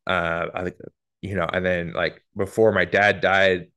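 Deep-voiced man in his thirties. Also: measured pace, clear, resonant tone. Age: 30s. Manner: relaxed, chatty, with many repeated starts. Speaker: podcast host. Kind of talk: casual podcast conversation.

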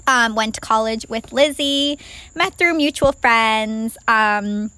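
A woman in her twenties, raised in Ireland with a faint Irish accent, co-hosting a casual podcast chat in a chatty, quick way. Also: a little high, sharp tone, no echo. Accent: slight Irish. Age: 20s. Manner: chatty, laughs easily, quick asides. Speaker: podcast co-host.

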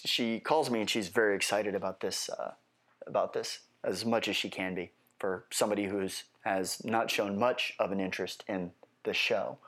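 A man's voice: lowish voice, fairly bright tone. Nasal man rambling through his podcast. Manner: rambling, wry asides, self-correcting. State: getting over a head cold. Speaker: podcast host. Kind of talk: solo podcast monologue.

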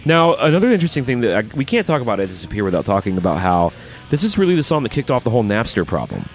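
A man's voice: medium pitch, wildly swooping pitch, racing. A man co-hosting a music podcast, talking casually and informally like an enthusiastic fan. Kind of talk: casual chat about rock music videos.

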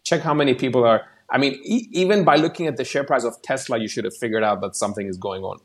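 A man's voice: medium pitch, animated, rapid-fire. A man giving casual, rambling market commentary on a podcast, often restarting his sentences. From outside the US.

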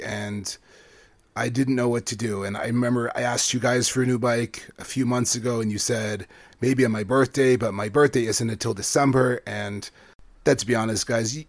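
A man's voice: medium pitch, no echo, lightly acted. A man voicing a young boy who pleads and makes excuses in a whiny tone.

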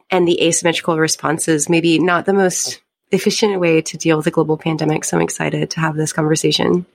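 A woman's voice: fairly low pitch, no echo. A woman from rural Georgia, a civic designer guest who talks in a friendly, thoughtful way.

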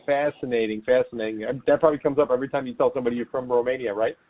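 A man hosting a real estate podcast, talking briskly and upbeat as he interviews a guest and reads promos. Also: medium pitch, steady tone, phone-quality sound. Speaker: podcast host.

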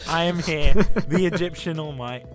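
A man's voice: fairly high pitch, normal speed, faint music behind. A young man from Britain hosting a casual podcast, rambling and joking with laughs as he talks. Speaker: podcast host.

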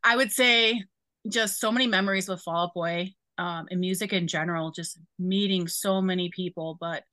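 Bright-voiced woman in her forties. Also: fairly low pitch, fairly even intonation, moderate pace, no echo. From Chicago area, USA. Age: 40s. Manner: friendly, talkative, many "um"s. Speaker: podcast guest. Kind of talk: casual interview chat.

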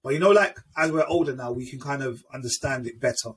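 A man's voice: mid-range voice, fast, slightly rough. A man hosting a casual chat, easygoing and agreeable as he leads the segments. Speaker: podcast host. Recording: distant mic with faint background noise.

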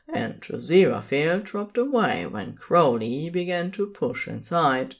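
Slow, expressive fan fiction narrator. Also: mid-range voice. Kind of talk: scripted fan fiction narration, intimate storytelling.

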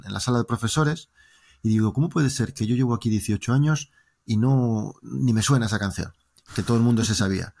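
A man with a Spanish accent, pitched in the middle range, chats as a podcast guest. He spins long, meandering anecdotes and adds playful jokes along the way. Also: gravelly. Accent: Spanish from Spain. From Spain. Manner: lively, joking storyteller, talking in long winding turns. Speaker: interview guest. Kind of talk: casual interview conversation.

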